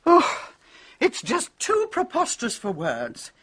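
An actress playing an opinionated, excitable crime novelist in a radio drama, emphatic and sure of herself. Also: female voice, fairly high pitch, slow pace, breathy edge, full character voice. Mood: incredulous, certain. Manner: emphatic, self-assured.

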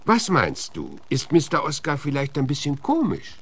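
A man's voice: on the high side, wildly swooping pitch, normal speed, a bit bright, slight background noise. A man playing a blunt, suspicious American marshal in a German radio drama, firing curt, coarse questions. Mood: probing. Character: gruff, blunt, suspicious male US marshal interrogating suspects.

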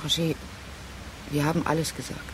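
A woman's voice: deep voice, level tone, brisk, close to an everyday voice, rough recording. An actress in a literary radio drama playing a cool, resolute intellectual woman parting from her lover in terse, matter-of-fact statements. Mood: resolute, reproachful. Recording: faint noise behind the voice.

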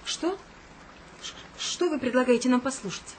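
A woman's voice: high-pitched, normal speed, a little hiss or hum, close to an everyday voice. An actress in a radio play as a bossy, scornful female editor with an imperious, exasperated manner. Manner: imperious, indignant.

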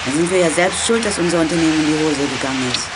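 A young male performer playing a confident, husky-voiced boy who leads young detectives in a children's audio drama. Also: a little high, very fast, heavy background noise, close to an everyday voice. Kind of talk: German children's radio play acting.

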